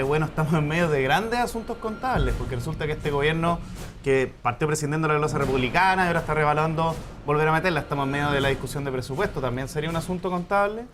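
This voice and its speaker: A man's voice: on the high side, quick pace, over music. A man with a Chilean accent on a TV panel, combative and sarcastic, firing off rebuttals. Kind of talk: TV panel debate.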